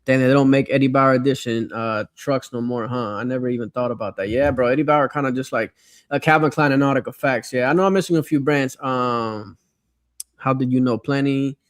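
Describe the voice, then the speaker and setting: A man's voice: medium pitch, a little fast, no echo, studio quality. A middle-aged American man from Miami chatting casually and nostalgically in slang as a livestream host. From Miami, United States. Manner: slangy, conversational. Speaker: livestream host reading viewer comments.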